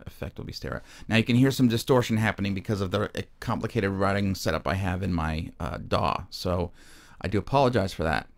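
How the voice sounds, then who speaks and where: A man presenting an informal step-by-step music software demonstration, haltingly thinking aloud as he goes. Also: lowish voice, no echo. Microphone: microphone recorded straight into screen-capture software.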